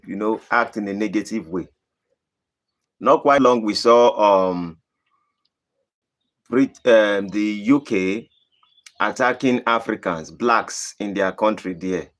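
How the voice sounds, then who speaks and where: A man from Nigeria with a Nigerian accent, hosting a live stream and answering viewer questions in a deliberate, emphatic way. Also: fairly bright tone. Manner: deliberate, emphatic, rising to high emphatic bursts. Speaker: channel host.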